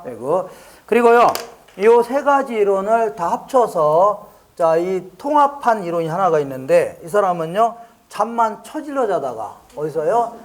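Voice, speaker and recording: A man from the Chungcheong region teaching an exam prep lecture, playful and jokey, quizzing listeners with rhetorical questions and answering them himself. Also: high-pitched. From Chungcheong region, Korea. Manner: playful, jokey, drills mnemonics by repetition.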